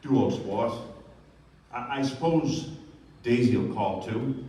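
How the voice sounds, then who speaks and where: Guy doing a lovesick hopeful gentleman, radio play, mid pitch, big echo, bit of noise. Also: slow pace, natural voice. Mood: subdued. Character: lovestruck, wistful young gentleman clinging to hope. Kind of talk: live radio play acting. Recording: echoey distant stage recording, faint noise.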